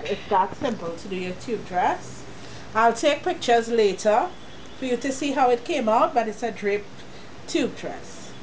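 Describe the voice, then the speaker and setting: A fairly high-voiced woman with a cold gives a casual step-by-step sewing tutorial. She talks straight to the viewer, chatty and easygoing, with a faint hum of room noise behind her. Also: darkish tone, rough recording. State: has a cold, sniffling.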